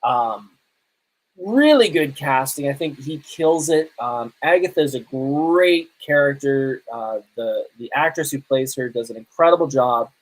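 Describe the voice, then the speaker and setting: A man's voice: medium pitch, animated, measured pace, rough recording. Casual male podcast host. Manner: conversational, rambling, self-correcting. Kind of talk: casual live podcast talk and reviews.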